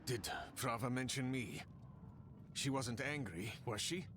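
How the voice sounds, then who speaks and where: An actor playing a weary, anxious guard in a game cutscene, resigned and hesitant, with a defeatist air. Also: male voice, medium pitch, measured pace, noisy background, lightly acted. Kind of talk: dramatic video game cutscene acting.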